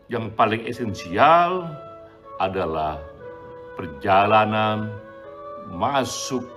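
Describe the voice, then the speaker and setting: A man reciting a reflective passage in drawn-out phrases with long pauses. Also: on the low side, a bit bright. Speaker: initiator of the poetry movement giving remarks.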